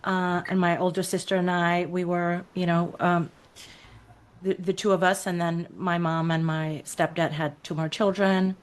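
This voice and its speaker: A middle-aged woman from Iceland, a podcast guest telling her life story in a reflective, unhurried way with many fillers. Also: fairly low pitch, little pitch movement. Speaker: podcast guest, creative coach. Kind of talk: casual interview conversation.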